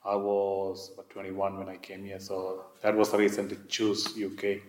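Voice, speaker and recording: Low-voiced Sri Lankan man, echoey room. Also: completely flat delivery, normal speed, a little mellow, slight background noise. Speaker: interviewee. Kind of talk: oral history interview, personal recollection.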